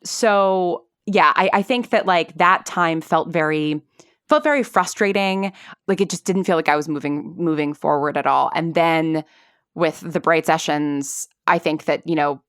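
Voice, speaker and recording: A woman's voice: sits low, measured pace, a bit bright, clear, resonant tone, no room sound, studio quality. A woman in her thirties chatting casually as a podcast guest, rambling through stories with lots of filler words like "like" and "sort of". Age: 30s. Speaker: podcast guest, audio drama creator and actor. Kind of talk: casual conversational storytelling.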